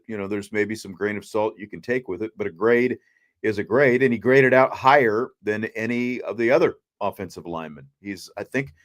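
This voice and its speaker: A man hosting a casual sports discussion, explaining his picks in a conversational way. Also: mid-range voice. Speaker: sports talk show host.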